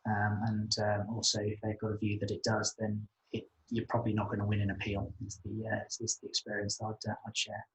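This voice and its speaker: Low, flat-voiced man explaining finance. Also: a little fast, hardly any pauses, low-quality recording. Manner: hesitant, many fillers and restarts, explanatory. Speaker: finance advisor on a business webinar panel. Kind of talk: online webinar Q&A answer.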